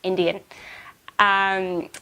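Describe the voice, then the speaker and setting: A woman's voice: medium pitch, little pitch movement, drawn-out words, pushed and bright, rough recording. A young Canadian woman with a Canadian accent, chatting casually to camera in a rambling way with many fillers. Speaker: vlogger.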